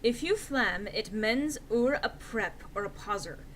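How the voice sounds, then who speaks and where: A woman's voice: fairly high pitch, expressive, a little slow, fairly bright tone, slight background noise. A woman reading a story aloud as narrator in a theatrical, mock-serious and emphatic comic style.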